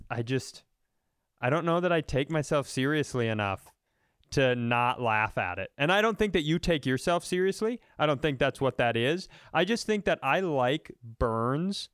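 A man's voice: fairly high pitch, a bit bright, clean tone, no echo. Man, comedian host, clean resonant voice, close dry sound, casual rambling riffs, profane. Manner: rambling, riffing, profane storytelling. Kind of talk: casual comedic podcast banter.

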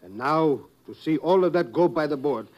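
A man's voice: a little high, animated, normal speed, lo-fi sound, lightly acted. An actor as an earnest, embattled statesman. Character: troubled male head of a government, proud but cornered. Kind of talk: 1950s radio drama acting.

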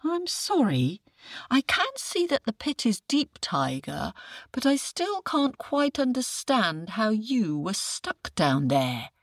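A wily male jackal , as a woman puts him on, drawls slowly and plays dumb in a raised, lilting voice. He sounds like a crafty trickster from a children's storybook. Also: a little high, huge pitch swings, no room sound, studio quality, voice pushed up, exaggerated voice. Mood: sly, puzzled. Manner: drawling and yawning, feigning puzzlement.

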